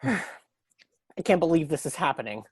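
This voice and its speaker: A woman playing a gentle, anxious young male actor in an audio play, speaking hesitantly. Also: sits low, very animated, normal speed, husky, heavily acted. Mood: nervous.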